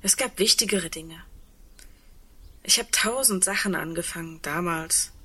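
An actress playing a wry, resigned, reflective woman in a radio drama, with a husky voice and dry humor. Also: on the low side, a little slow, sharp tone, exaggerated voice. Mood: wry, reminiscing. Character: tall, wry, resigned woman insolvency administrator facing doomsday. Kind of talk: radio drama dialogue acting.

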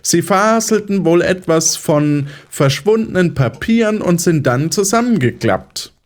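A man's voice: high voice, animated, unhurried. A man playing a patronizing, controlling psychiatrist in an audio drama, lecturing in a didactic, condescending way. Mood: patronizing, soothing. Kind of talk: German audio drama acting.

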